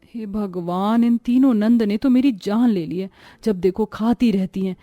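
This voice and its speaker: A woman's voice: mid-range voice, a little slow, muffled recording, soft background music, lightly acted. Woman acting a weary, meek young pregnant sister-in-law. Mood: weary, resigned. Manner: weary, polite, complaining. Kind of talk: acted Hindi cartoon moral story.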